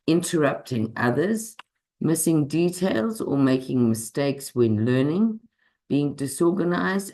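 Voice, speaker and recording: A woman, hoarse from a cold, delivers an online medical lecture. She explains at a measured, teaching pace and pauses with frequent ums. Her voice comes through a computer microphone on a video call. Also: rumbling low, a little mellow, low-quality recording. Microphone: computer mic on a video call.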